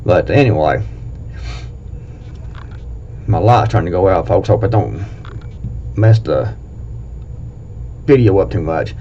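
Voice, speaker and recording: A man's voice: low voice, animated, slow pace, pauses often, dark tone, a little hiss or hum. A man with an Appalachian accent who hosts a prepping channel, talking casually to camera in a blunt, plain-spoken and rambling way. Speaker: prepping video host. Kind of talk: casual talk to camera, reading a list. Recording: dull lo-fi recording.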